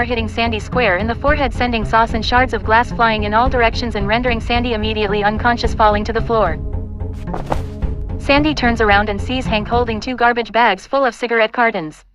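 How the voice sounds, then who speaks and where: A woman reading scripted stage directions as the narrator of an audio play. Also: fairly high pitch.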